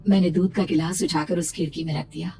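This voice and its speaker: A woman's voice: sits low, fairly even intonation, fast talker, creaky. A woman playing a frightened young pregnant woman, telling her horror story in a suspenseful, emotional, theatrical first-person style. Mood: suspenseful dread. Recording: distant-sounding voice under loud music. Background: loud background music.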